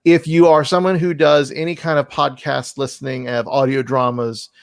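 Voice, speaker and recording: A male podcast host with a creaky, fry-edged voice in a mid pitch, recorded close and dry. He chats casually and effusively, rambling along and poking fun at himself. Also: level tone, moderate pace, no echo. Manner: effusive, rambling, self-deprecating. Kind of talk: casual conversational interview.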